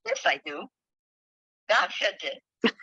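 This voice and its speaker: A woman who is a spiritual leader, speaking haltingly with pauses as she reads and shares devotional thoughts with a group over a phone call. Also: medium pitch, huge pitch swings, bright, forceful tone, slightly rough, no room sound. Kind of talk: devotional reading and heartfelt group sharing. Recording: dull, dry online call audio.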